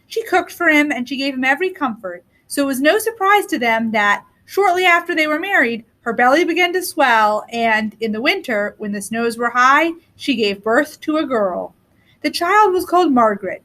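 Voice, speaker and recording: A woman narrating a scripted storybook tale as a reader, with deliberate, expressive phrasing. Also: high voice.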